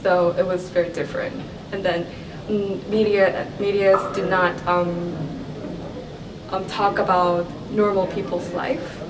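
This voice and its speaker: A woman's voice: lowish voice, flat delivery. A woman speaks English in short, hesitant phrases. Her voice sounds far from the mic and echoes around the room. A crowd murmurs behind her. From South Korea. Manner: halting, simple phrasing. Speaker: interviewee. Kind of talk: documentary interview. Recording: echoey and distant with crowd noise.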